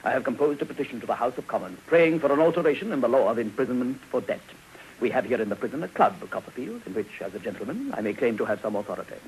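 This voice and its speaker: Shabby-genteel debtor, male actor: pompous, theatrical, quick, wildly varied pitch, hissy radio. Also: sing-song, lightly acted, rough recording. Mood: grandly optimistic. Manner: florid, pompous. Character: grandiloquent, theatrical, down-at-heel middle-aged debtor gentleman. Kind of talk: 1950s radio drama acting. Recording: hissy old radio broadcast.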